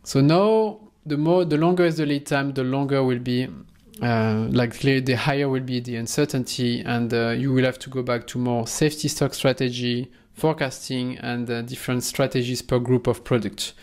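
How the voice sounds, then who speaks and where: A man, speaking at a middle pitch. He explains things in a relaxed, conversational way, with plenty of restarts and quick checks with the listener. The sound is close to the microphone in a small room. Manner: loose and conversational, frequent restarts and "okay?" checks. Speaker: supply chain instructor. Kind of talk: casual explainer lesson. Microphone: close microphone in front.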